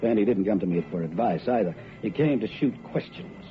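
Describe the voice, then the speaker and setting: A wisecracking private detective played by a man in an old radio drama, wry and slangy. His voice sits fairly low and swings expressively, heard through a muffled vintage recording. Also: lively intonation, brisk, faint music behind, close to an everyday voice. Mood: wry, narrating. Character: wisecracking, unflappable male private detective narrating his case. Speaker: lead actor and narrator. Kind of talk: hardboiled radio detective narration and dialogue.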